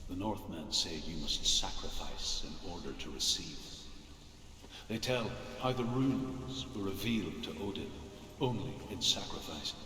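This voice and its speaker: A man playing a solemn storyteller of old myths in a game, with measured, emphatic delivery. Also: low-pitched, lively intonation, bright, forceful tone, a little hiss or hum, heavily acted. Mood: grave. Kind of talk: dramatic myth storytelling in a game.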